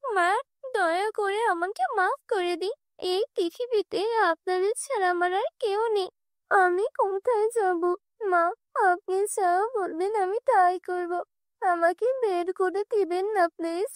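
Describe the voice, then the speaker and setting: A woman voicing a gentle, downtrodden young stepdaughter in a cartoon fairy tale, plaintive and pleading. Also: extremely high pitch, unhurried, dry recording, clean studio sound, pitched above the performer's own voice.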